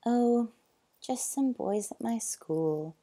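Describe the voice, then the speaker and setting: A sweet, shy young boy, as a woman narrator puts him on, pitched well above her usual voice in a storybook reading. The voice stays soft and a little husky, with a lively, bouncing lilt. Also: takes it slowly, heavily acted. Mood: wistful.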